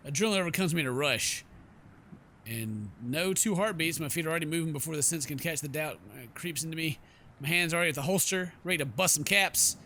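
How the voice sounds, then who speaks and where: Higher-pitched male streamer reading game narration. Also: fast, bright, forceful tone, slight background noise. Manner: jokey asides, playful. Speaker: gaming streamer. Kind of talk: game playthrough, reading narration aloud with casual commentary. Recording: game music behind the voice.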